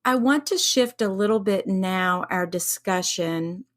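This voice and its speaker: A woman hosting a podcast who interviews her guest in a measured way, summing up what he said and asking questions. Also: mid-range voice. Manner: measured, summarizing, affirming. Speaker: podcast host.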